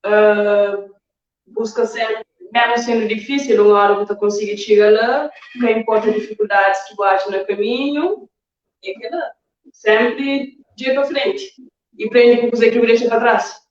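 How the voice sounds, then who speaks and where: A woman with a fairly high voice gives casual words of encouragement as a guest. She sounds far from the microphone in a strongly reverberant room, and the audio is somewhat dull. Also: steady tone, normal speed. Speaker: interview guest. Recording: very echoey, muffled, distant mic.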